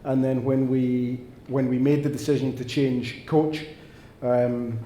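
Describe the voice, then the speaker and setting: A Scottish man speaks on a panel at a fans' event, earnest and long-winded. His voice is dark and mellow and keeps to a narrow pitch range. The room is echoey, with a faint noise behind him. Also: flat delivery, unhurried. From Scotland. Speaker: football director on a panel. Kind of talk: panel answer at a fans' forum.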